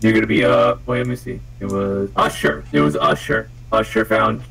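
A young man chatting casually and excitably, swearing freely, his voice run through a voice changer on a headset. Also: on the low side, normal speed, poor audio. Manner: excitable, giggly, blurting. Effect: voice changer with shifting presets. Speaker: video maker playing with a voice changer. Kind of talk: casual joking chat with swearing. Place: indoors at a computer.

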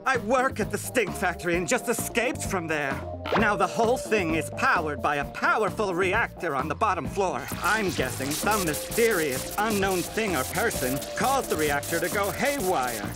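A man's voice: sits high, lively intonation, normal speed, loud music behind the voice. A man voicing a gloomy, unlucky male cartoon wolf who rambles on. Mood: glum.

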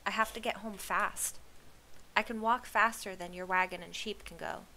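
A woman reader voices a resolute young female traveler from a storybook. She is polite but firm, speaking plainly in a bright mid-pitched voice. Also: fairly even intonation, moderate pace, low-quality recording. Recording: clear background hiss.

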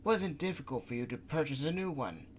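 A man's voice: fairly high pitch, expressive, brisk, slight background noise, lightly acted. A man voicing Mistress Kaku, a stern, bossy older woman who scolds the others. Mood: chiding. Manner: commanding, scolding.

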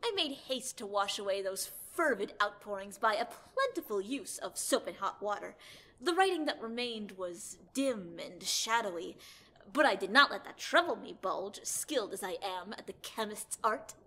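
A woman's voice: mid-range voice, sing-song, moderate pace, fairly bright tone. A woman voicing a pompous, grandly self-assured boy scholar in an audio drama, theatrical and formal. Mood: lecturing.

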